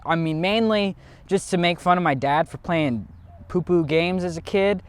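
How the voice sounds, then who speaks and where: A man's voice: high-pitched, moderate pace, clear, resonant tone. A young man hosting a comedic video essay, sarcastic, profane and self-deprecating.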